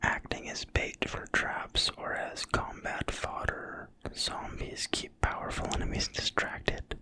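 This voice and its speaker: Slow, breathy ASMR whisperer. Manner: quiet, slow, soothing delivery. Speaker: ASMR creator. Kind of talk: whispered ASMR reading of a fantasy game manual.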